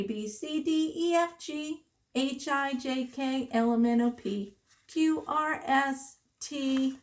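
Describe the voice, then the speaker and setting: A woman preschool teacher reading the alphabet aloud to children in simple, deliberate, sing-song phrases with questions. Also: sits high.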